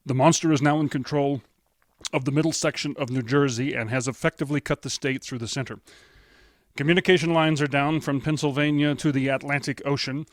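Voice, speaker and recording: An actor playing a male studio announcer in a 1930s radio drama, grave and measured with small stumbles. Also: mid-range voice, fairly even intonation, no echo, lightly acted.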